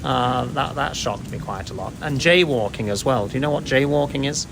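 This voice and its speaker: A man's voice: medium pitch, animated, normal speed, creaky, noisy background. A middle-aged British man giving thoughtful, explanatory answers in a conversational interview. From United Kingdom.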